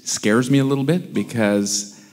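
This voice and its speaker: A man's voice: medium pitch, unhurried, darkish tone. Hesitant older man in a reverberant hall. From Canada. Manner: hesitant, searching, many fillers and restarts. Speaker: audience member. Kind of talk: comment from the floor. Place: seated in a large auditorium audience. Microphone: handheld mic.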